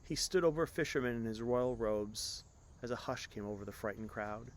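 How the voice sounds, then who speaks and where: A man tells a story in an expressive, scripted read. His voice is medium in pitch, and he stresses key phrases as a storyteller does. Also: fairly quick.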